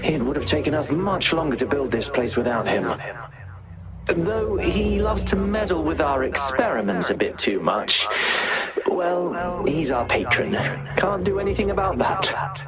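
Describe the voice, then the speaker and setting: A man's voice: a little high, big pitch swings, unhurried. An actor playing a driven, bitter researcher obsessed with his experiments in a game cutscene. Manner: earnest, bitter, increasingly desperate. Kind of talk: video game cutscene acting. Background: music.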